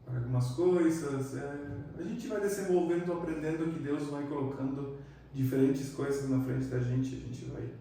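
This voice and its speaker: A man from Argentina, speaking as a psychiatrist guest, talks in a relaxed, reflective way. His voice is dark and mellow. It comes from a distance in a very echoey room with faint noise behind. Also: a little high, moderate pace, vocal fry. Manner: relaxed, reflective, many fillers. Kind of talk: casual interview chat.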